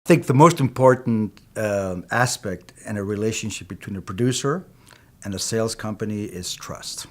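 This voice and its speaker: A middle-aged man from Peru, a native Spanish speaker, speaks about his work as a film producer in a low, unhurried voice. He talks in measured, reflective stretches and often adds "you know" as he works through a thought. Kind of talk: interview answers.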